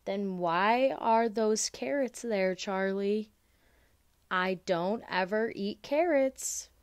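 A woman's voice: mid-range voice, slow pace, clear, resonant tone, no echo, natural voice. A woman voicing a stubborn, fussy little girl in a picture-book story, speaking in emphatic declarations.

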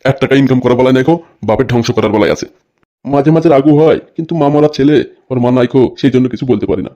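A man's voice: medium pitch, very fast, warm tone, muffled recording, natural voice. A man playing a tight-fisted, scolding village father with coarse speech in a comic cartoon dub. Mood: grumbling. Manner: scolding, earthy, coarse-tongued.

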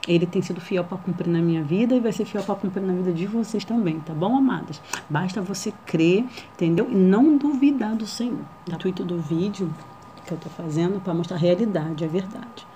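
A shy woman in her thirties with a Rio de Janeiro accent talks into a nearby camera in a small living room. Her voice sits a little low, and a faint hum of room ambience runs under her halting, filler-heavy speech. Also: mellow. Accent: Rio de Janeiro Portuguese. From Brazil. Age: 30s. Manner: shy, hesitant, many fillers and tag questions. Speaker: vlogger. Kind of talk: casual first-vlog introduction.

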